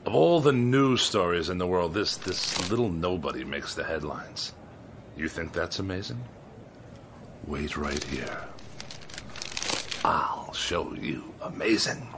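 An actor in a radio drama playing a boastful, excitable husband, theatrical and emphatic. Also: male voice, deep voice, slow pace, long pauses, background noise, full character voice. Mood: indignant. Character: boastful, excitable hypochondriac husband newly made immortal.

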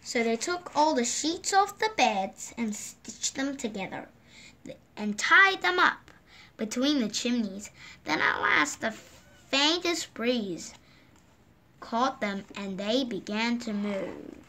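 A woman reading a children's picture book aloud at a gentle, unhurried pace, filmed indoors over the pages on a table, lifting her voice for the characters' lines. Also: a little high, animated, slight background noise. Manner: slow, gentle read-aloud pacing with lifted voices for quoted lines. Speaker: storybook reader. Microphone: phone or camera filming the book from above.

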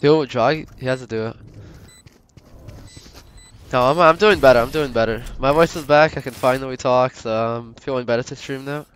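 A man's voice: medium pitch, normal speed, fairly bright tone, smooth. A man casually chatting to his stream viewers while gaming, slangy and sweary, recovering from a slight cold. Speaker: live game streamer.

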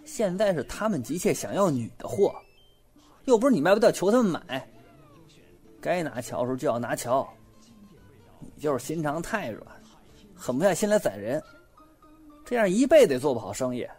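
A man playing a shrewd, bossy young businessman in an audio drama, animated and coaching in manner. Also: a little high, normal speed, pauses often, husky, soft background music. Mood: brisk, insistent. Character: shrewd, bossy, lively young businessman, soft-hearted underneath. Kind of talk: Chinese audio drama acting.

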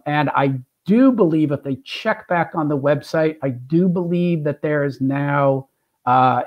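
Man, webcam call, business panelist, mid pitch, steady. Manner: steady, upbeat business talk with fillers. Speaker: sales executive panelist. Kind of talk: video call panel answer. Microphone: video call webcam.

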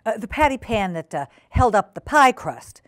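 A woman's voice: on the high side, big pitch swings, moderate pace, dry recording, studio quality, voice pushed up. A woman voicing an anxious female dog from a storybook, overpolite and flustered, with a stammering, hesitant manner. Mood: embarrassed, stammering.

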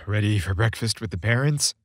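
A man's voice: lowish voice, animated, brisk, no echo, studio quality, pitched below the performer's own voice. A man voicing Santa's playful, teasing young son in a casual storybook dialogue style.

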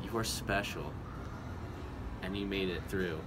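A young man vlogging on a road trip, joking and rambling excitably to a phone held at arm's length. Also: sits low, unhurried. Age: young adult. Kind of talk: casual vlog chat. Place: road trip, car, mall and parking lot. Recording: loud background noise.

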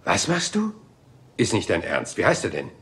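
An actor playing a rumpled, persistent police inspector in a dubbed crime drama, polite and meandering. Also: male voice, on the low side, wildly swooping pitch, normal speed, a bit bright, husky, exaggerated voice.

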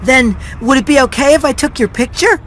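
A high, youthful boy mouse, chipper and eager to help in a video game cutscene. He is polite and earnest, over a faint bed of street noise. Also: a little fast, a bit bright.

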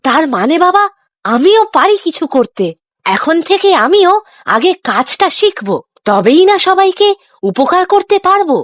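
Woman voicing an earnest high-pitched little village boy. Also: big pitch swings, normal speed, no echo, clean studio sound. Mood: happy, proud. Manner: earnest, childlike. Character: earnest, clumsy, sensitive village boy eager to help. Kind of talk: Bengali moral cartoon dialogue.

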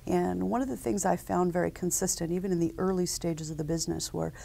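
A woman in her forties, a company founder, answering interview questions in a measured, businesslike way with frequent ums and uhs, recorded on a lapel mic at a conference set. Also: lowish voice, fairly even intonation, vocal fry, dark tone.

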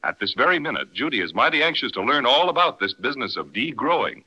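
A man's voice: medium pitch, very animated, quick pace, pushed and bright, slightly rough, muffled recording. A man narrating a children's story as a 1930s radio announcer. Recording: old 1930s radio recording.

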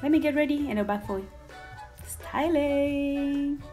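A woman's voice: sits high, takes it slowly, mellow, faint music behind. A young woman whose first language is Swahili, chatting in a casual hair tutorial, explaining each step and slipping Swahili words in. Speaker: hair vlogger.

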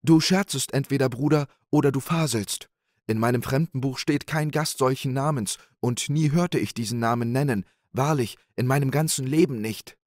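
A man's voice: mid-range voice, moderate pace, darkish tone, dry recording, studio quality, voice pushed up, close to an everyday voice. A man voicing a scheming, boastful male innkeeper in a storybook tale, speaking in an agitated, pleading way. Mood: indignant.

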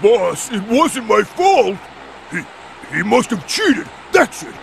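A hulking, slow-witted albatross thug with a rough gravelly voice that stumbles over its words. He talks slowly and swoops high and low in pitch. Loud game music plays behind him. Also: big pitch swings, a little hiss or hum, full character voice. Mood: flustered. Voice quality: gravelly, stammering. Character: big dim-witted male albatross henchman. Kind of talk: video game cutscene acting.

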